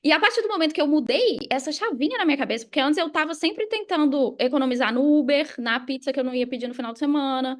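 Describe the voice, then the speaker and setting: A woman's voice: sits high, expressive, quick pace, few pauses. A woman with a Brazilian accent explaining things casually as a podcast guest, emphatically posing questions and answering them herself. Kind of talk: casual explanatory interview talk.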